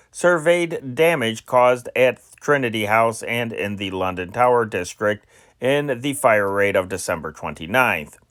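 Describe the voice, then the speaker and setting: A man's voice: mid-range voice, fairly bright tone, no echo. Man reading news reports, very dry sound. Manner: deliberate phrase-by-phrase reading with occasional stumbles. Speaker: amateur news reader and channel host. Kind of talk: reading old wartime newspaper reports aloud.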